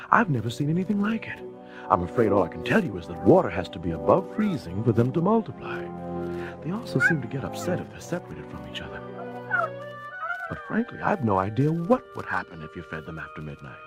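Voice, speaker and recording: An actor playing a studious male high school science teacher in a storybook audio drama. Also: huge pitch swings, brisk, over music. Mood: fascinated.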